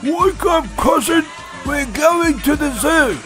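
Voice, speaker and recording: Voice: high voice, lively intonation, takes it slowly, over music, pitched above the performer's own voice. A performer voicing a spoiled, demanding boy with a whiny, accusing manner in a comic audio story. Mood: gleeful, bossy.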